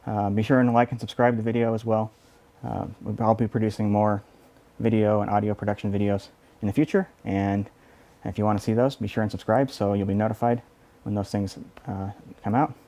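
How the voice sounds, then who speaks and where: A man hosting a casual audio equipment demo, explaining in a halting, loosely improvised way. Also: on the low side, flat delivery, dark tone. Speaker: video host, audio equipment reviewer.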